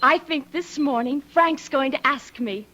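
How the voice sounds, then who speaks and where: A woman's voice: high-pitched, measured pace, sharp tone, lo-fi sound. An actress playing a headstrong, lovestruck young horsewoman in an old-time radio drama, earnest and emphatic. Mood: coy, hopeful. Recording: noisy vintage radio drama recording.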